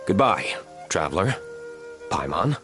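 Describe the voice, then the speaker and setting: A cool young nobleman from a video game, speaking in a low register. He is terse, formal and guarded, with faint music behind him. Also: big pitch swings, measured pace, fairly bright tone, heavily acted. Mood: curt, bitter.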